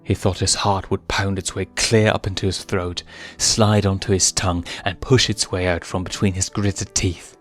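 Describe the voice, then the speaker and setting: Low voiced guy reading a dramatic story out loud, bit of music behind him. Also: flat delivery, normal speed, hardly any pauses. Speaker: fan fiction reader and narrator. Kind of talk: dramatic scripted story narration.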